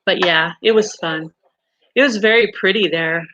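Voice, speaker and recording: A woman's voice: on the low side, moderate pace, a bit bright, no room sound, lo-fi sound. A middle-aged woman with a Texan accent, hosting a live stream and telling stories in a chatty way with fillers and restarts. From Texas. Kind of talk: casual chat reading viewer comments.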